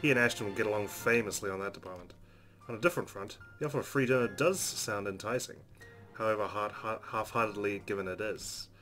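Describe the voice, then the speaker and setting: Fast-talking low-voiced man reading a story. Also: bright, forceful tone. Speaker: let's play host reading game narration. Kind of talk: expressive reading of story narration. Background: game music.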